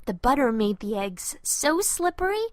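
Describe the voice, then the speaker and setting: A woman's voice: a little high, very animated, moderate pace, dry recording. A woman reading a children's storybook as a scripted narration, expressively and with careful phrasing for young listeners.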